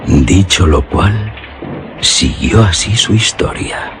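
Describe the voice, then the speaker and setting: A man's voice: sits low, animated, slow, noisy background. A man reading scripted literary narration as the narrator of a radio drama.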